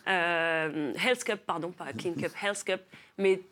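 A woman's voice: lowish voice, slow, fairly bright tone. A woman from Marseille, a philosopher and author, explaining her ideas as a talk show guest with many hesitations. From Marseille, France. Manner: thoughtful, many hesitations with euh. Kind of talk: studio interview.

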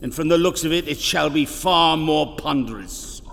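An actor playing the tormented ghost of a dead writer in a radio drama, solemn, mournful and theatrical, with old-fashioned diction. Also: male voice, a little high, normal speed, creaky, a bit bright. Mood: solemn warning. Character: tormented male ghost of a dead pulp author. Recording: long reverb, music behind.

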